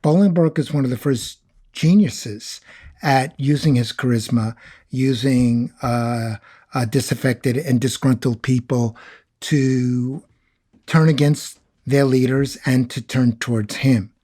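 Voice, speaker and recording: A man's voice: medium pitch, slow, mellow. A man speaking as an academic expert, giving analytical commentary in an interview.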